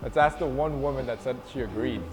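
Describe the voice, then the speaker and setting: A man hosting a group debate video, moderating casually with brisk, quick reactions. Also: mid-range voice, big-room sound, music in the background.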